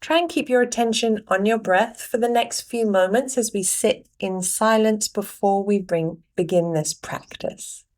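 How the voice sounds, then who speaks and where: A woman guides a meditation at a very slow, unhurried pace. Her voice sits at a medium pitch, and she gives gentle instructions in short phrases, leaving space between them.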